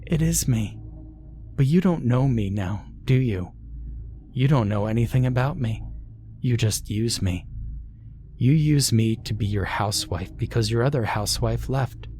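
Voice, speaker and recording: A man's voice: medium pitch, a little slow, mellow, slight background noise, natural voice. A man voicing a possessed daughter who mutters cold accusations in a near whisper. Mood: cold accusing.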